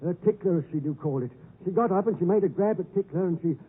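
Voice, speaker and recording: An actor playing a gentle, simple, henpecked blacksmith with a confiding way, in 1950s radio drama style. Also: male voice, sits high, quick pace, breathy edge. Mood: anxious warning. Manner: rustic, confiding. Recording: muffled, tinny 1950s radio broadcast.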